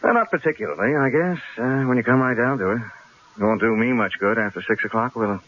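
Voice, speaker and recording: An actor playing a cool, shrewd newspaperman in a radio drama, speaking in a measured, dryly sardonic way. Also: mid-range voice, fairly bright tone, slight background noise, natural voice. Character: cool, shrewd newspaper columnist, stalling his would-be killer. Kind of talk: 1970s radio drama acting. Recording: dull, lo-fi old radio broadcast.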